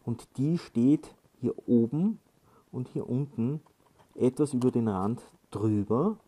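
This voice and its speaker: Casual male tutorial presenter, very dark soft-edged tone, slow and hesitant delivery. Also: medium pitch, lively intonation. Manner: halting, hesitant delivery with frequent filled pauses. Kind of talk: casual hands-on instructional talk.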